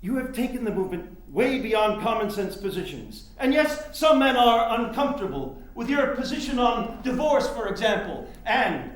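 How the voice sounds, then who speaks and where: Casting: man to play self-assured, patronizing Victorian-era politician for the stage, oratorical, high register with very animated delivery. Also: normal speed, hardly any pauses. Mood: placating, firm. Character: condescending, self-assured 19th-century male reformer and politician. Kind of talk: theatrical staged-reading acting. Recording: very echoey, roomy hall recording.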